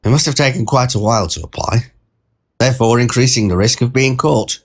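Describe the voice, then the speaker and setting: A mild-mannered, bemused male train passenger narrating an audio short story. His pitch sits in the middle range. He talks conversationally in wryly formal wording, wandering into pedantic asides. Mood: pondering, pedantic.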